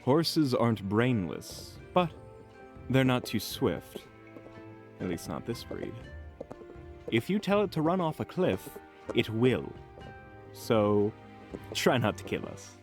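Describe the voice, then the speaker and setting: A man's voice: medium pitch, very animated, slow pace, long pauses, soft background music. A man playing a prickly, sarcastic male mentor in a fantasy audio roleplay, speaking in a dry, easily offended way.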